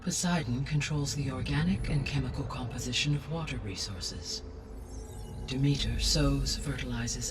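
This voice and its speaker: A calm, benevolent machine mind, played by a man for a game cutscene. The words are formal and precisely measured. The voice sits a little away from the mic, with music clearly playing behind it. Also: mid-range voice, far from the mic, lightly acted. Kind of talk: scripted game cutscene dialogue.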